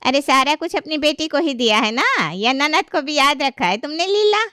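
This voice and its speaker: A teasing older auntie played by a woman in an audio story. The voice is fairly high, with a dry sound. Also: expressive, moderate pace, pushed and bright, no room sound. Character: teasing older aunt, sister-in-law of the matriarch.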